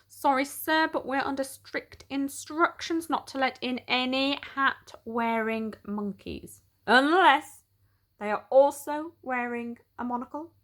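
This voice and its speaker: A woman's voice: sits high, unhurried, fairly bright tone, pitched above the performer's own voice. A woman voicing a strict male doorman in a storybook, formal, firm and apologetically official. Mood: officious. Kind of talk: storybook read-aloud character.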